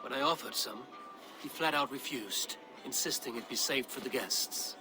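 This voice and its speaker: An actor playing a stern, commanding Viking warrior in a video game, speaking in measured, decisive, terse phrases. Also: male voice, medium pitch, over music, natural voice. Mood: deliberate. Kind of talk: cinematic video game acting.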